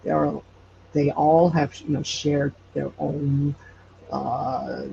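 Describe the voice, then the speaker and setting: Male podcast guest, very creaky voice, slow halting delivery, slightly muffled lo-fi recording. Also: fairly high pitch, level tone. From Hawaii. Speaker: podcast guest, audio drama creator. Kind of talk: casual interview chat. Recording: dull lo-fi sound, faint noise.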